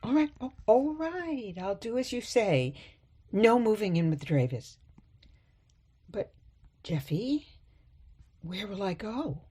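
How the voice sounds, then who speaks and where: A woman playing a sweet, gently stubborn elderly mother in a radio play, coaxing and chiding softly. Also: mid-range voice, big pitch swings, slow pace, long pauses, slightly rough, full character voice. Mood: meek.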